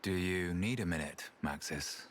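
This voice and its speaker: A man's voice: sits low, moderate pace, slight background noise, close to an everyday voice. A man playing a plain-spoken, easygoing companion fighter in a video game, talking casually. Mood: puzzled. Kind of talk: video game cutscene acting.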